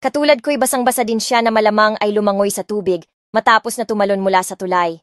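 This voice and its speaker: A young woman narrating a first-person romance audiobook, expressive and switching between two languages. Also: medium pitch, fast, no echo, studio quality.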